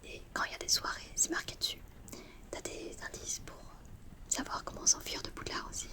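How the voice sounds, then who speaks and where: A woman's voice: fairly quick, lightly acted. A woman playing a chatty, mischievous teenage witch student in a whispered ASMR roleplay, talking in a casual, confiding way. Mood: secretive, friendly. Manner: hushed, casual, slangy, confiding.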